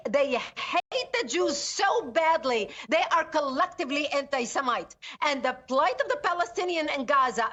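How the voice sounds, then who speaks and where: Forceful high-voiced woman on a lo-fi link. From Lebanon. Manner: forceful, indignant, emphatic repetition. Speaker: interview guest, security analyst. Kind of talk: remote news interview.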